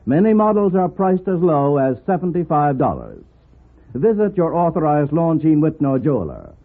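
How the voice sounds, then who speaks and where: High-ish, expressive American radio announcer, man. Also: moderate pace. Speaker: commercial announcer. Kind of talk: formal 1950s radio advertisement. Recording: muffled old radio broadcast recording.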